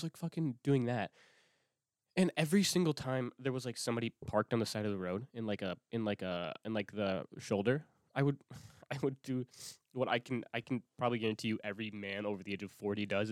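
A man's voice: on the low side, dry recording, clean studio sound. A young man hosting his own podcast, talking alone in a rambling, swear-heavy way with lots of restarts, sniffling from a cold. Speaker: podcast host. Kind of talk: casual solo podcast monologue.

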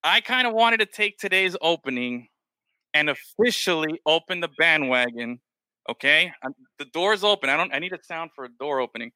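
A man's voice: a little high, expressive, moderate pace, pushed and bright, clean tone, no room sound. A man hosting a casual podcast, talking loosely and peppering his talk with "man" and "bro". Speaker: podcast host. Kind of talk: casual chat.

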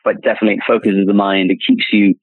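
A man's voice: lowish voice, level tone, racing, no room sound. A man being interviewed as a classic car dealer, rambling with many restarts and repeated words. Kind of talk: casual interview chat. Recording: tinny phone-line audio, dry.

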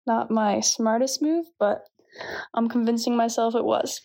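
A young Canadian woman gives a cheerful, self-mocking update about her playing season. Her voice sits a little high, with a gravelly fry at the ends of her phrases. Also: fairly even intonation, measured pace, mellow. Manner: upbeat, self-deprecating. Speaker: professional volleyball player. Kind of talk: scripted update to camera.

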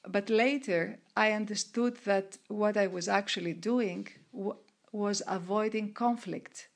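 A middle-aged woman from Greece, answering interview questions in a measured way with frequent restarts and fillers. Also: medium pitch, gravelly. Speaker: guest, researcher and coach of women entrepreneurs.

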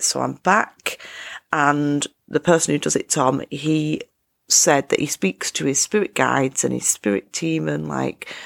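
A woman hosting her own podcast, chatting in a casual, upbeat self-help way with a croaky voice. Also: low voice, fairly bright tone. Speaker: podcast host. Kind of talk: casual solo podcast chat.

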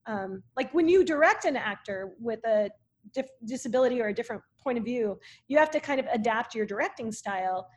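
A woman film director telling stories casually in an interview, in an animated, chatty way. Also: on the high side, fairly quick.

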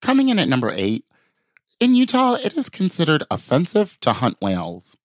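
A man's voice: on the high side, huge pitch swings, moderate pace, no echo. A man co-hosting a podcast, chatting casually and riffing on absurd scenarios with plenty of swearing. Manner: jokey, riffs on absurd scenarios, swears freely. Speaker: podcast co-host. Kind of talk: casual comedic chat.

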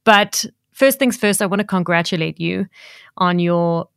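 A woman's voice: lowish voice, measured pace, gravelly, a bit bright, clean tone, dry recording, clean studio sound. A woman hosting a podcast, upbeat and effusive as she puts questions to her guest. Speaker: podcast host. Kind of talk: podcast interview.

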